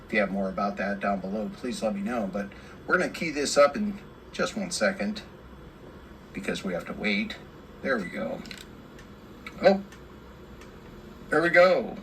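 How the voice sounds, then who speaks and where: A man's voice: on the low side, big pitch swings, normal speed, pauses often, rough recording. A man presenting a casual hands-on radio repair, rambling and repeating himself, enthusiastic about the equipment. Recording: clear background noise.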